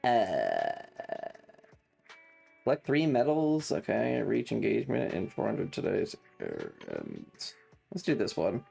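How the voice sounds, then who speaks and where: A man's voice: fairly low pitch, wildly swooping pitch, takes it slowly, gravelly, warm tone, slightly rough, faint music behind. A man live streaming a video game in a casual, chatty way, sarcastic and exasperated, often reading game text in a dismissive manner.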